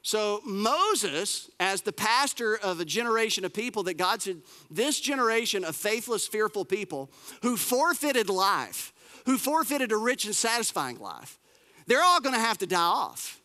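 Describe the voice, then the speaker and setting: A pastor delivers a sermon in a high, bright voice. He preaches with lively emphasis, repeating phrases and dropping casual asides. His voice rings through a hall with a long reverb. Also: unhurried. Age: 40s.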